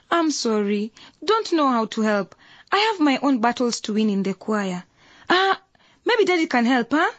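A woman acting an excitable, loyal teenage younger sister in a radio drama, animated and earnest. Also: a little high, measured pace, no echo, heavily acted. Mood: consoling.

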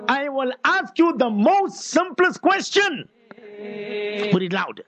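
A high-pitched male radio host chats live with his listeners. He is affectionate and informal, circling back to repeat his phrases as he goes. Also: big pitch swings, slow pace, a bit bright. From Portugal and Mozambique. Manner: affectionate, repeats phrases, uses Islamic expressions. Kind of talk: casual live call-in chat with listeners.

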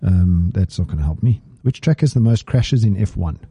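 A man co-hosting a motoring podcast, teasing and playful. His voice is husky and very dark, swinging widely in pitch, recorded close and dry with almost no room sound. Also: lively intonation, normal speed, dry recording. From South Africa. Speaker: podcast co-host. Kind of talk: casual chat. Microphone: studio mic.

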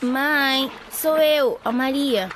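A woman's voice: high voice, drawn-out words, background noise. An actress playing an earnest teenage girl in a radio drama, apologetic with her mother but firm with her friend. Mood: polite. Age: teens. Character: Maria, earnest pregnant teen girl.

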